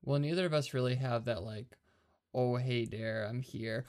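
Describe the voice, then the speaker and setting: A man's voice: mid-range voice, moderate pace, a little mellow, smooth. A young man with a Midwestern American accent and a slightly nasal voice, co-hosting a movie podcast with laid-back short replies and stories. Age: young adult. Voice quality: somewhat nasal. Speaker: podcast co-host. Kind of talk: casual movie podcast chat.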